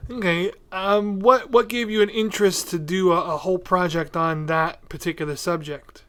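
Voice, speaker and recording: High-voiced man hosting a casual podcast. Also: steady tone, normal speed, a bit bright, smooth. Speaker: podcast host, interviewer. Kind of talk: casual conversational interview.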